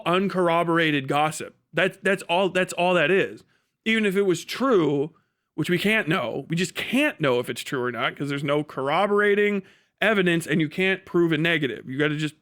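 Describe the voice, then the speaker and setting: High-pitched, bright-voiced sarcastic man. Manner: sarcastic, acts out imagined conversations in different voices. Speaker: live stream host. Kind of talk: casual profane political commentary.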